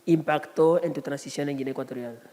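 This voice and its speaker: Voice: mid-range voice, steady tone, a little fast, dark tone. An audience member asking a hesitant question at a public talk. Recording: long hall reverb.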